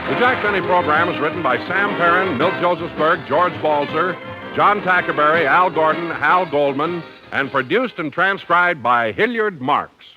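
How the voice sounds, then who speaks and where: A man's voice: a little high, normal speed, loud music behind the voice. A man announcing on 1950s radio with a polished salesman's delivery. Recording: dull 1950s radio recording.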